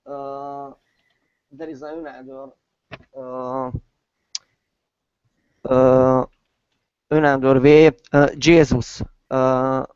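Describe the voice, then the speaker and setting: A man's voice: medium pitch, flat delivery, extremely slow, pauses often, a little mellow, clean tone, dry recording. A man with a Hungarian accent speaking English as a student, very hesitantly, with many fillers and repeated words. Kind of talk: halting lesson conversation. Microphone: online voice call. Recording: slightly dull, compressed online audio.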